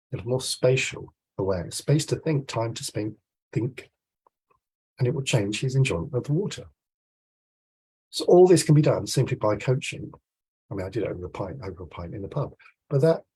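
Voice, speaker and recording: A British man in his forties, congested with a cold, gives an informal, explanatory coaching talk on a video call, with frequent pauses and a husky voice. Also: lowish voice, warm tone, low-quality recording. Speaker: swimming coach. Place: small room at home, indoors. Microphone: video call, computer mic.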